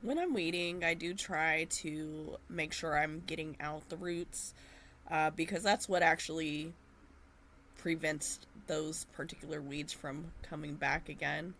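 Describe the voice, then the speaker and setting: A woman narrating her gardening video in a gentle, unhurried way with frequent fillers, her voice slightly nasal from a cold. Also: sits low, fairly even intonation, a little hiss or hum. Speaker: gardening vlogger.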